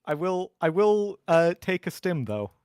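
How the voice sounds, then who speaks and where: Guy playing a tabletop game, chatty, voice a bit high, super dry audio. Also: big pitch swings, a little slow, darkish tone, smooth, no echo. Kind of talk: casual group chat during a game.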